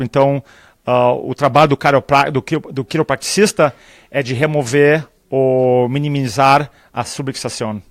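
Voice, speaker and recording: Animated Brazilian-accented man, slightly high voice. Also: dry recording, clean studio sound. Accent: Brazilian Portuguese. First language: Portuguese. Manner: animated storyteller, acts out dialogue, laughs often. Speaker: public speaking trainer, guest. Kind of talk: enthusiastic live interview chat. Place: conference venue.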